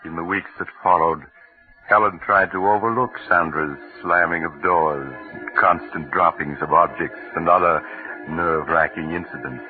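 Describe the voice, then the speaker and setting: A man narrating a suspense tale in a solemn, scripted old-time radio style. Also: low-pitched. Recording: tinny old radio recording, loud music.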